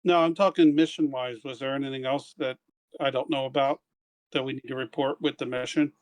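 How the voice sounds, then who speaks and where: A man's voice: mid-range voice, steady tone, brisk. A man playing in a tabletop game, talking casually and hesitantly, often restating his point. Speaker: player.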